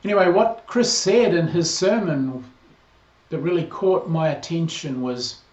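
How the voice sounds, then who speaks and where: A man in his sixties with a New Zealand accent, a priest giving a measured, earnest greeting to a parish. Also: on the high side, lively intonation. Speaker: priest, incoming interim rector. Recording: roomy, slightly dull recording.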